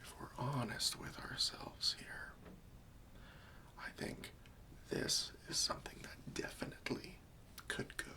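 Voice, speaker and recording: A gentle, patient helper played by a man in an ASMR roleplay, whispering slowly and coaxingly. The voice is crisp and sibilant on top, with a friendly, reassuring way of putting questions. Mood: patiently questioning.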